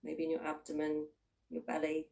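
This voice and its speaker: A woman with a low voice leads a guided meditation, sitting a little way from the microphone. She gives gentle, invitational instructions, leaving long pauses between unhurried phrases. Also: completely flat delivery, creaky, distant mic. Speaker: mindfulness practitioner leading a guided body scan.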